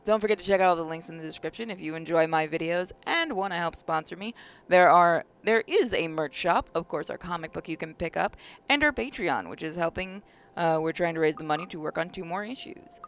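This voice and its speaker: A woman's voice: lowish voice, brisk, creaky, slight background noise. A woman hosting a game playthrough, reading story narration aloud and adding casual commentary. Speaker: gaming video host.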